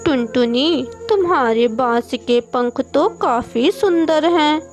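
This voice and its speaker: Woman voicing a high-pitched, slow-talking cartoon crow. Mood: apologetic, friendly. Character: crow from a bird flock, blunt playmate. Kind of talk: children's cartoon dubbing in Hindi. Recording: music behind the voice.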